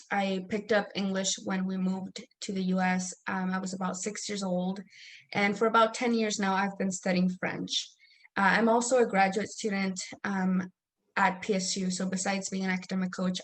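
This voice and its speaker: A woman's voice: medium pitch, little pitch movement, moderate pace. A young woman born in Mexico with Spanish as her first language, presenting a study-skills workshop as an academic coach in an organized, instructional way. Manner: organized, instructional, frequent um fillers.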